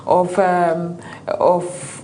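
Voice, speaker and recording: A woman's voice: lowish voice, extremely slow, rough recording. Woman on a tv panel, lots of uhs and restarts, gets fired up, faint noise behind. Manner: animated, many fillers and restarts. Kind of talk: panel discussion.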